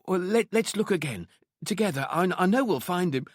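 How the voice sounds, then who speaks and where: Man doing an anxious, high-voiced soothing nanny. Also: lively intonation, a little fast, no room sound, clean studio sound. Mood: anxiously reassuring. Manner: hesitant, stammering reassurance. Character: anxious, soothing female nanny, eager to reassure.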